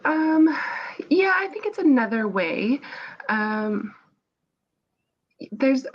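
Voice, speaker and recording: Woman guest, stuffy from a cold, relaxed explainer, dull earbud call audio. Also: high voice, extremely slow. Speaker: guest designer. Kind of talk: casual explanatory interview talk. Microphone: earbuds on a video call.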